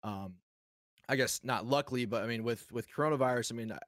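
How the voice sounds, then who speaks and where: A man's voice: mid-range voice, fast talker, fairly bright tone, no echo, studio quality. A man who streams, chatting easily as a guest on a podcast. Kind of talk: casual interview chat.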